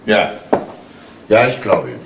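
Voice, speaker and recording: A police detective in a radio crime drama, with a fairly low voice. He is deliberate, firm and a little patronising, like a father questioning a boy. The retro recording has clear noise. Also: sing-song. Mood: thoughtful. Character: calm, probing male police detective, paternal but firm.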